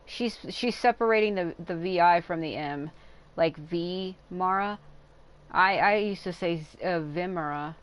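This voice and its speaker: A woman's voice: lowish voice, measured pace. A woman livestreaming a video game, rambling and thinking aloud in casual commentary. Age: adult. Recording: game music behind the voice. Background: video game music.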